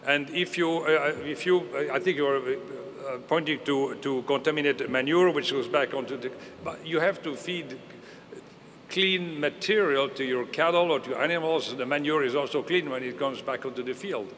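A man's voice: on the high side, normal speed, a bit bright, a little hiss or hum. Earnest older man in a reverberant hall. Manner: earnest, restarts and hedges often. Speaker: panelist. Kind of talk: conference panel answer. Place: large conference hall. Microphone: table mic. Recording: reverberant hall, faint ambience.

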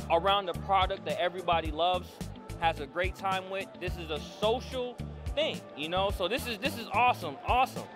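A man in his thirties hosting a casual convention interview into a handheld mic, slangy and animated, repeating phrases for emphasis. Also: high voice. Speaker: show host interviewer. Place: indoor convention hall booth. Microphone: handheld microphone.

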